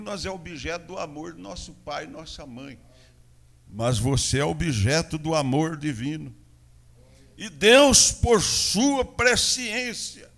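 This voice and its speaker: A Brazilian man, a pastor preaching a sermon in a church with a São Paulo interior accent, moving between loud proclamation and quiet asides. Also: a little high, creaky. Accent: Brazilian Portuguese, São Paulo interior.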